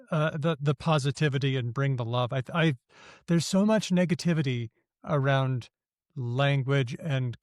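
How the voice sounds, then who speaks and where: Male podcast host, upbeat and informal, slightly higher voice, dry close recording. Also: a little mellow, no room sound. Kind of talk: casual interview chat.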